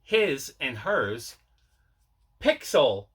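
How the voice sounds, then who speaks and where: A man's voice: medium pitch, sing-song, very slow, fairly bright tone, husky. A man hosting a casual unboxing video, rambling and repeating himself as he shows off his collection. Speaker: video host showing mail and movie collection.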